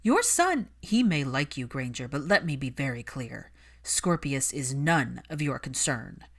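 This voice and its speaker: A woman voicing a cold, controlling young father in an audiobook-style story, stern, clipped and deliberate. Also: extremely low pitch, sing-song, slightly rough, exaggerated voice. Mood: chilly rage.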